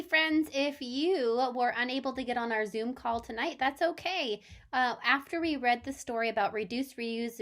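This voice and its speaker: Slow-talking, higher-pitched woman teaching young children. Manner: animated, deliberate, addressing children with questions. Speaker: elementary school teacher. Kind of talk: read-aloud lesson for young children.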